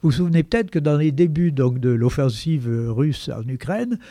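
A man's voice: fairly high pitch, animated, moderate pace, dark tone, no echo, studio quality. A man giving long, explanatory commentary on a radio talk show, peppering his sentences with "hein" and "voilà".

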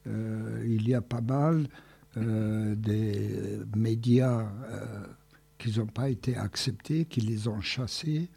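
A man joining a radio talk discussion, speaking hesitantly with many pauses and restarts. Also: lowish voice, creaky, warm tone, breathy edge.

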